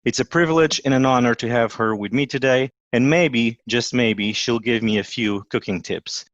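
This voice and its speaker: A man with a Portuguese accent hosts a podcast, reading an upbeat introduction and then chatting with his guest. His voice sits at an average pitch. The sound is dry and close. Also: normal speed, fairly bright tone, no echo, lo-fi sound. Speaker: podcast host and chef. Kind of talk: podcast interview.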